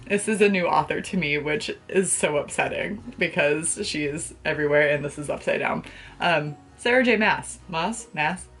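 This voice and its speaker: A woman's voice: on the low side, a bit bright. A young adult woman chatting casually to camera about books in a gushing, playful, slangy way, recorded in a bedroom. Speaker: book vlogger. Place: small bedroom, indoors.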